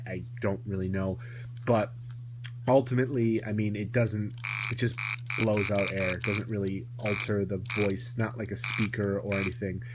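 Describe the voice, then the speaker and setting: A man giving a casual, rambling hands-on toy review, often correcting himself as he goes. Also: lowish voice. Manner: rambling, self-correcting asides. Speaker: toy collector reviewing a vintage toy. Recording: noisy home recording with electrical hum.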